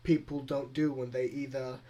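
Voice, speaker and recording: A young man with a Greek accent talks at an average pitch in relaxed, rambling chat. He jumps between thoughts and often breaks into laughter as he co-hosts. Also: moderate pace, dull audio. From Greece. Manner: chatty, rambling, frequent laughs. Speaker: podcast co-host. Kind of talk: casual chat.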